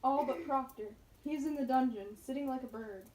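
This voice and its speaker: A woman's voice: high-pitched, fairly quick, a little mellow, recorded from a distance, natural voice. A woman acting an earnest, defiant male reverend in an amateur puppet play. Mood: urgent, pleading. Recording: echoey room, away from the mic.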